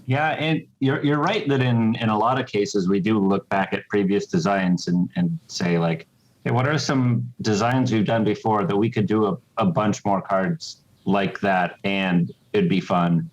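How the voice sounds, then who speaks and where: Low-voiced man, slightly muffled audio, explaining. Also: steady tone, a little slow. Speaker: game designer being interviewed. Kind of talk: explanatory interview chat.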